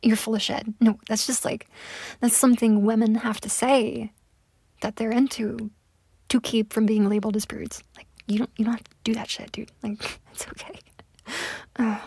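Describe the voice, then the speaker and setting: A woman's voice: fairly high pitch, a little mellow, no echo, full character voice. A young woman voicing a pushy, entitled woman on a date in a one-sided audio roleplay, talking in a close, whispery way with lots of swearing. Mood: scoffing. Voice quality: husky, breathy, gravelly. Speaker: voice actor.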